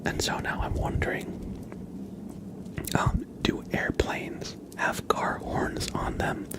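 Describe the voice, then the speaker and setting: A man hosting a live ASMR stream, chatting casually and haltingly in a soft, breathy whisper with a relaxed, musing manner. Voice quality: soft, breathy whisper with some creaky low voicing. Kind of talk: whispered ASMR livestream chat.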